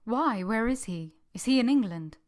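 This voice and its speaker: A woman's voice: on the high side, animated, moderate pace, natural voice. A woman playing an earnest, tender former governess, acting and narrating her own story in a radio drama. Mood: anxious. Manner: earnest, gentle, heartfelt.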